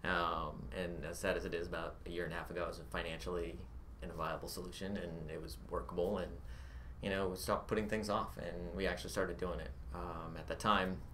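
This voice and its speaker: A man's voice: sits low, flat delivery, fairly quick, fairly bright tone, a little hiss or hum. A man being interviewed about his game studio in a casual livestream Q&A, easygoing and rambling with fillers. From born in Vietnam, grew up in Arizona.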